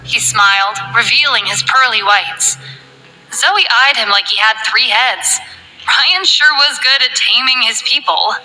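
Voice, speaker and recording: A woman narrating a romance novel in a steady, scripted storytelling read. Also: a little high, unhurried, soft background music, rough recording.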